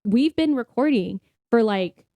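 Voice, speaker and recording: A woman's voice: on the high side, expressive, a little slow, warm tone. A woman co-hosting a faith podcast, talking in an informal, chatty way with frequent affirming interjections. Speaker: podcast co-host. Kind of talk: casual conversational faith podcast.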